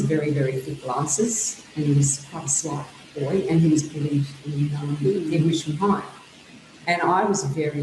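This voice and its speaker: A woman's voice: deep voice, roomy sound, background noise. A woman author, whose was Greek, thoughtfully recalling her childhood in an interview. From Australia. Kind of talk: reflective interview answers.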